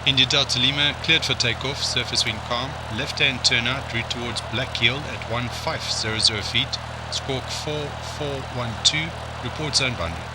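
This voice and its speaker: A man's voice: medium pitch, normal speed, close to an everyday voice. Man playing tower controller over noisy background. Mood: procedural. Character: male airport tower controller issuing clearances. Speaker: air traffic controller in practice exercises. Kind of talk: scripted radio call practice. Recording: clear vehicle-like background noise.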